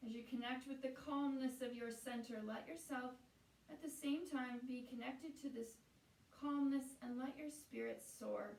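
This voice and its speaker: A woman guiding a meditation as a teacher, speaking in a soothing, unhurried way with pauses between phrases. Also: sits high, fairly even intonation, a little mellow. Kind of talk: guided meditation. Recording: roomy echo, distant from the mic.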